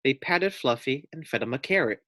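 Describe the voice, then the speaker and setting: A man reading a children's storybook aloud as a teacher, with deliberate, clear phrasing. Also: medium pitch, animated, sharp tone, no room sound.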